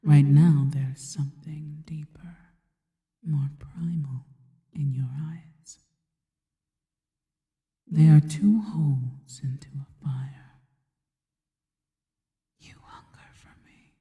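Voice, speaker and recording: Casting: actress as adoring, sinister female spider creature for ASMR roleplay, low breathy whisper, very dark and soft, drenched in reverb. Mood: seductive, hungry. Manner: slow, deliberate, intimate, formal phrasing. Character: seductive spider-woman monster, adoring, sinister, slow and reverent. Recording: long reverberant echo.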